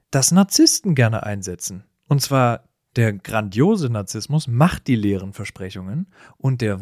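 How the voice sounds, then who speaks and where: A man's voice: medium pitch, darkish tone, no room sound, studio quality. A man co-hosting a casual, comedic discussion, with a teasing, bantering manner. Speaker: podcast co-host.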